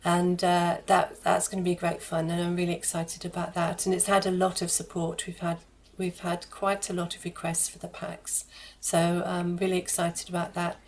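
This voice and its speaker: A woman's voice: on the low side, monotone, normal speed, a little hiss or hum. A woman giving conversational interview answers as a charity guest, phrasing her thoughts in a considered, hesitant way with repeated words.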